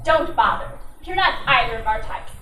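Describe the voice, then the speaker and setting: Woman acting a high-voiced, sharp-tongued tough dame, echoey room. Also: animated, moderate pace, close to an everyday voice. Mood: scornful. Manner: sarcastic, scornful, commanding. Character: sharp-tongued, bossy, suspicious brunette sister, secretly a gangster. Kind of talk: old-time radio drama acting. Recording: roomy, distant mic with faint noise.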